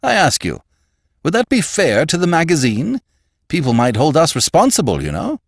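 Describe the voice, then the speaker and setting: A hearty older magazine boss from a storybook, acted out by a man, pitched well up and swooping widely. He is genial and fussing, leaning on his words as he cajoles and persuades. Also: wildly swooping pitch, normal speed, no room sound, clean studio sound. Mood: distressed.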